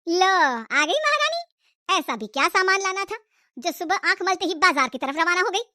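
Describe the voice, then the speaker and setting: A woman voicing a greedy, scheming, sharp-tongued sister-in-law who scolds and complains in a cartoon story. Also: extremely high pitch, sing-song, normal speed, no echo, studio quality, voice pushed up, heavily acted. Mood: bossy, scolding.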